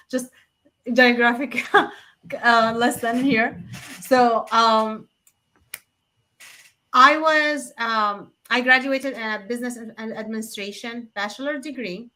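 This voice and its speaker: Bright, high-voiced middle-aged woman, Syrian accent. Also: takes it slowly, long pauses. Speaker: host, nonprofit consultant. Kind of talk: casual personal storytelling.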